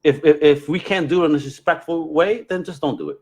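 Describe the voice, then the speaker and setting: Male podcast host, slightly high register, expressive, slangy, informal conversational delivery. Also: fairly quick, dull audio. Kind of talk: casual chat.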